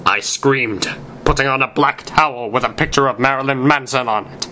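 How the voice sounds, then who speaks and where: A man's voice: mid-range voice, moderate pace, sharp tone, a little hiss or hum. A man narrating fan fiction aloud in a theatrical reading style.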